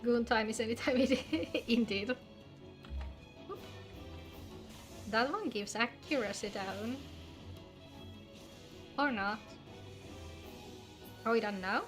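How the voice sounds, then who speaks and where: A woman's voice: fairly high pitch, slow, pauses often, soft background music. A woman streaming a video game, chatting casually and playfully with her viewers, informal and free with swearing. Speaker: live streamer playing an online game. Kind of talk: casual gaming livestream chat.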